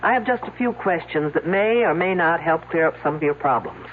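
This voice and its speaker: An actress playing a brisk woman police detective in a 1970s radio mystery, questioning in a clipped, wry way with a husky voice. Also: deep voice, expressive. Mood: businesslike, wry. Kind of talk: 1970s radio drama acting. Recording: tinny, narrow-band old radio broadcast.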